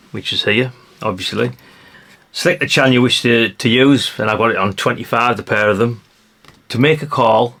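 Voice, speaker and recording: A man's voice: medium pitch, a bit bright. A man giving a casual hands-on demonstration of gadgets in an offhand, matter-of-fact way, often tagging his remarks with questions. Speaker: vlogger reviewing vintage radios.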